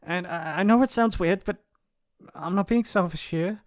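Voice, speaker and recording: A man playing a shy, gently possessive young mafia heir in an intimate ASMR roleplay, speaking hesitantly and affectionately. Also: high-pitched, moderate pace, no echo, studio quality, natural voice. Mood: shy, flustered. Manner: hesitant, earnest, stammering, affectionate. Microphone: close studio mic, dry.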